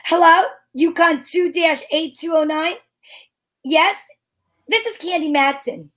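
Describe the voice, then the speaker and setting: Woman doing a breezy 1940s radio lady detective, wisecracking, high bright voice. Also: unhurried. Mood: brisk. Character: breezy, wisecracking 1940s female private eye.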